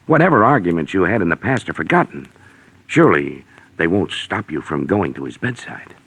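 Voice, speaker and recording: An American actor playing a stern, suspicious middle-aged gentleman with a blustery, accusing temper in 1940s radio mystery style. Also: deep voice, very animated, a little fast, breathy edge, full character voice, rough recording. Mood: urgent, persuasive.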